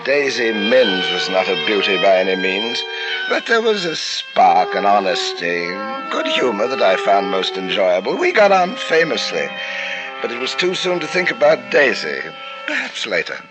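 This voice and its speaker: A man playing a smooth, murderous doctor who narrates his crimes coldly and charms others with false sorrow, in a vintage radio drama. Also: fairly low pitch, big pitch swings, moderate pace, rough recording. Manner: suave, falsely sorrowful, sly asides. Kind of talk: 1940s radio acting. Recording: old radio drama with music beneath.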